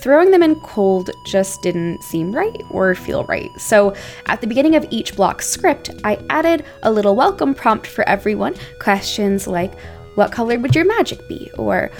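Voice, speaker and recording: A woman's voice: mid-range voice, big pitch swings, normal speed, creaky, a little mellow, faint music behind. A woman co-hosting a podcast about making audio drama, talking in a playful, informal, slangy way. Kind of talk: casual podcast narration.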